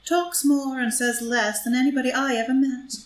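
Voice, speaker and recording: Scheming society villainess played by a woman: taunting, amused, menacing, higher register, audio drama reading, faint background noise. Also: fairly even intonation, normal speed, lightly acted. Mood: arch, amused. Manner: arch, taunting, theatrical delivery. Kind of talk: stage play read as audio drama. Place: indoor room, live group reading. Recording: roomy live group reading, faint noise.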